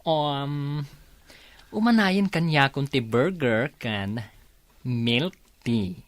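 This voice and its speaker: An actress playing a nosy, teasing best friend in a radio drama, playful and inquisitive. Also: female voice, very deep voice, lively intonation, drawn-out words, dry recording, exaggerated voice. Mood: playful, bossy. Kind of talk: radio drama acting in Ilocano.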